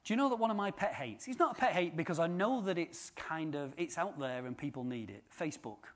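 A man's voice: a little high, animated, brisk. A man giving an informal sermon, chatty and joking with the congregation. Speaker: preacher.

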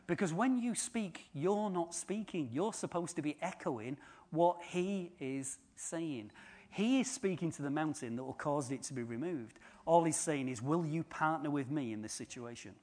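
A man's voice: a little high, expressive, normal speed, darkish tone. Guy preaching, chatty, cracks jokes at the congregation. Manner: chatty, joking asides to the congregation. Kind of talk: informal sermon.

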